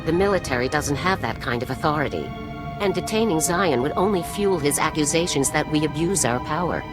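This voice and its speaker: A woman playing a stern, authoritative military general, formal and measured, in a video game. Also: low-pitched, animated. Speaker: commanding officer. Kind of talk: video game voice acting, briefing. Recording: music behind the voice.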